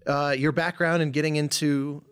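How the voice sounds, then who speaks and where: A man's voice: fairly high pitch, flat delivery, normal speed, a bit bright, clear, resonant tone. A man hosting a podcast, interviewing casually in person with a wordy, digressive, wry way of talking. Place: in person at a table, at a conference.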